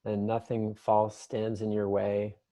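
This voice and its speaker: Low-voiced man guiding a meditation. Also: completely flat delivery. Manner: gentle, unhurried, meandering imagery with long pauses. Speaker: meditation guide. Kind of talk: guided meditation, improvised and conversational.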